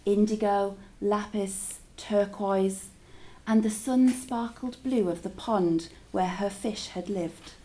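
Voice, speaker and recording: A woman reading a story aloud to children as a teacher, in an expressive, deliberate storytelling style with drawn-out words. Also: mid-range voice, rough recording.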